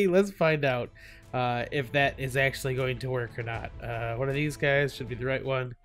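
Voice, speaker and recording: A man's voice: mid-range voice, moderate pace, faint music behind. A man with a stuffy cold hosting his gaming channel, chatting casually over gameplay, laughing often and full of fillers and restarts.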